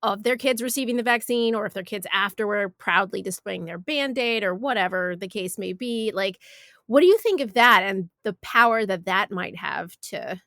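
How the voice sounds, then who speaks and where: A woman's voice: medium pitch, animated, normal speed, creaky, fairly bright tone, no room sound. A woman hosts a conversational podcast interview in a mid-range voice, recorded dry with hardly any room sound. She builds her questions casually and at length, with little asides along the way. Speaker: podcast host interviewing a guest.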